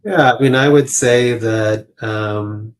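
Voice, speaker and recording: A man speaking as a clinician guest, explaining things conversationally in a halting, informal way with lots of filler phrases. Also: mid-range voice, clean tone. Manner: halting, informal, peppered with "you know" and "like".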